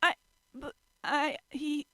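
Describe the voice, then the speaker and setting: A flustered young girl from an anime story, put on by a woman, high and bright. She is polite and fretful, stumbling over her words. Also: little pitch movement, takes it slowly, dry recording, heavily acted. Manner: stammering, fretful.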